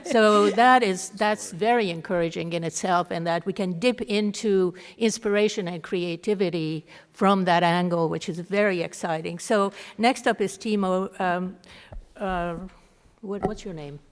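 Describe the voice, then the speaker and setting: A woman moderating a panel, introducing speakers with halting starts and many fillers. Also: fairly low pitch, smooth. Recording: reverberant hall.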